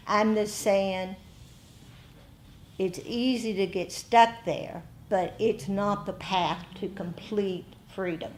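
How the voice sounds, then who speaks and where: Slow-paced American woman teaching meditation. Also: medium pitch. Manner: conversational, pausing often between short phrases. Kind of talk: informal dharma talk to a group.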